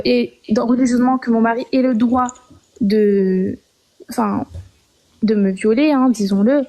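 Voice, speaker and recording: A young woman from Algeria calling in to share her story, talking hesitantly with many pauses and restarts. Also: on the high side, dark tone. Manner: hesitant, frequent filler sounds and restarts. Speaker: caller. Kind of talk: personal testimony on a call-in show.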